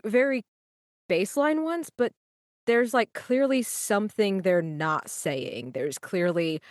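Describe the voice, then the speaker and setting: A woman's voice: deep voice, dry recording, studio quality. A woman, casually improvising as a player in a tabletop roleplaying game. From United States.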